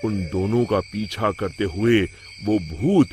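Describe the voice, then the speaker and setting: A man's voice: fairly low pitch, takes it slowly, a little mellow, slight background noise. A man narrating a scripted moral tale in a dramatic storytelling style.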